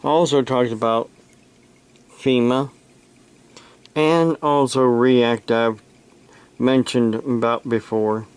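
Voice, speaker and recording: A man from the United States giving an unscripted explanation to camera, speaking haltingly in short phrases with long pauses between them. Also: mid-range voice, fairly even intonation, smooth. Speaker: amateur radio operator and preacher making a video.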